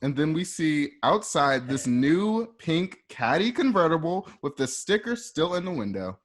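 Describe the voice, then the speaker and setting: A man narrating a scripted radio play, setting each scene in a measured present-tense delivery. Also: on the high side, very animated.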